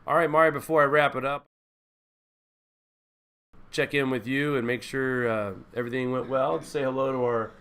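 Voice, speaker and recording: Folksy male stream host, smooth voice, mid pitch. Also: steady tone, normal speed, long pauses, a bit bright. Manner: folksy, addresses viewers as guys and folks. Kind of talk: live news stream with weather forecast.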